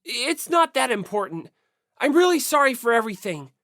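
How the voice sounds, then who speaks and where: A woman acts out an earnest teenage schoolboy for an audiobook. He is hesitant and quick to apologise, easily flustered, with very lively pitch. The recording is dry and free of echo. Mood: flustered, apologetic.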